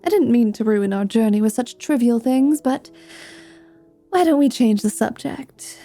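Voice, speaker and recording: Woman playing female dhampir mercenary, flirtatious, jaded, dry wit, unhurried pace, soft mellow tone, fantasy ASMR roleplay, music behind. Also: on the high side, expressive. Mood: flirty, teasing. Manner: teasing, dry, dramatic. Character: flirty, sardonic female dhampir mercenary, world-weary, unhinged.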